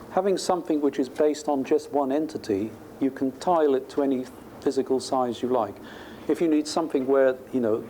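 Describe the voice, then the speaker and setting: A man's voice: mid-range voice, warm tone. A male professor explaining technical ideas informally in a lecture, thinking aloud in a conversational way. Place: lecture room at a university. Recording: clearly noisy lecture room recording.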